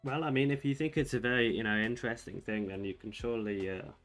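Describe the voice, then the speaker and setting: A young man streaming chess and chatting with viewers, soft-spoken and rambling with lots of fillers and restarts. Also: medium pitch, steady tone, fast talker. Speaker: streamer reading chat. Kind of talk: casual livestream chat while playing chess. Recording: faint music behind the voice.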